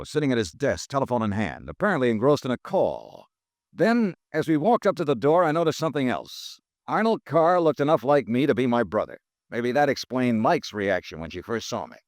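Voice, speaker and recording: An actor playing a sharp male insurance investigator in a 1950s radio drama, narrating in a wry, level manner. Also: mid-range voice, no room sound, studio quality, close to an everyday voice. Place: studio.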